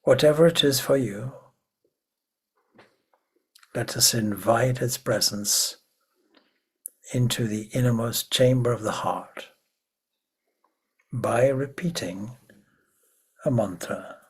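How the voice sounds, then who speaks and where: Slow, breathy man guiding meditation. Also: mid-range voice. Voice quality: breathy, husky. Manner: hushed, measured, with long pauses. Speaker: meditation teacher, swami. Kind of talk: guided meditation.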